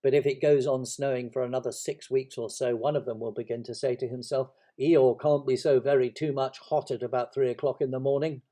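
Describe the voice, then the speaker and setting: A man's voice: medium pitch, fairly even intonation, brisk, few pauses, dark tone, lightly acted. A man voicing a gloomy, self-pitying toy donkey in a storybook, with a melancholy, resigned, grumbling delivery. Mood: mournful.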